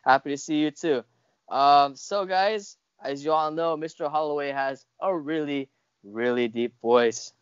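A man's voice: fairly high pitch, measured pace, clean tone, no room sound. A young adult American man hosting a casual interview over a video call. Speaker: host. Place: room at home, indoors. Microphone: earphone mic on video call. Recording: dull lo-fi video call audio.

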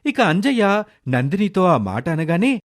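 A man's voice: sits high, sing-song, measured pace. A man narrating a scripted moral story as its storyteller.

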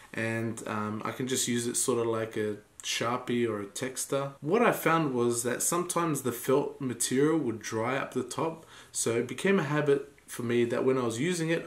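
A young man with a stuffy, congested voice, explaining his hobby work to camera in a relaxed, casual vlog. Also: mid-range voice, reverberant. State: congested from a cold. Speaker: hobby watchmaker vlogger. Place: home workshop, indoors.

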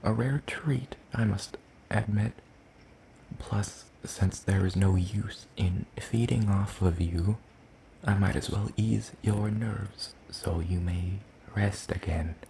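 Gentle, curious demon played by a man for ASMR roleplay: low, mellow, soft-spoken, hesitant and courtly in phrasing. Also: fairly even intonation, vocal fry, a little hiss or hum, low-quality recording. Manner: hesitant, formal, slow and deliberate. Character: gentle, curious, one-eyed soul-leeching demon creature.

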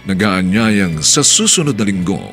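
A man announcing formally for a Filipino radio drama, grand and courteous in his measured scripted delivery. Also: fairly low pitch, lively intonation, fairly bright tone, faint music behind.

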